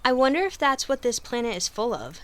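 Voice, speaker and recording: A woman's voice: a little high, very animated, brisk. A woman playing an eager, curious scribe on an expedition in a radio drama, full of wonder. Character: curious, excitable young female scribe and explorer. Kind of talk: audio drama acting. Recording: music behind the voice.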